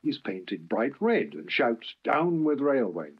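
Storybook engine, done by a male reader: dry-witted, practical, good-humoured, slightly rough voice, lively intonation. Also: mid-range voice, slow pace, exaggerated voice. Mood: amused. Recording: dull lo-fi archive recording.

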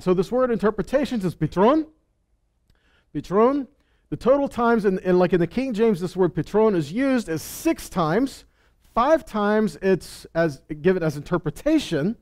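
A man's voice: sits high, a little mellow. A man in his thirties teaching a Bible lesson on stage with a headset mic, talking in a casual way, asking questions and acting out quoted lines. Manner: conversational, asks the room questions, acts out quotes. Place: stage with purple lights.

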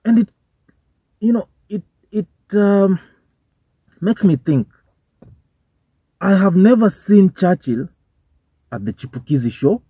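A man's voice: sits high, animated, slow pace, pauses often, dark tone, husky, no room sound. A young Kenyan man with a Kenyan accent giving casual, profane commentary to camera from a parked car, mixing English with Swahili slang. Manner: informal, swearing, switching between English and Swahili slang. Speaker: vlogger. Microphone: phone or camera on the dashboard. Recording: dull, dry car recording.

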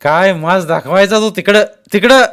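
A man voicing an aggressive, coarse masked gang ringleader in an audiobook, shouting insolently and barking orders. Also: high voice, normal speed, smooth, pitched above the performer's own voice.

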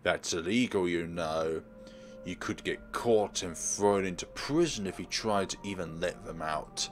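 A man voicing a menacing, controlling sentient game program with slow, stern, drawn-out delivery. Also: sits low, soft background music, pitched below the performer's own voice.

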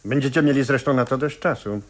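An actor playing an indignant, authoritative official who interrogates with curt sarcasm in a 1970s radio play. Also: male voice, mid-range voice, fast talker, close to an everyday voice. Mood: scornful. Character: scornful, indignant male interrogating official, loyal to emperor.

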